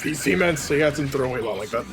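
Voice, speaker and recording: A man's voice: medium pitch, lively intonation, fast talker. A man giving casual, joking game commentary in a sarcastic, mocking way. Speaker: let's play commentator. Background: loud game music.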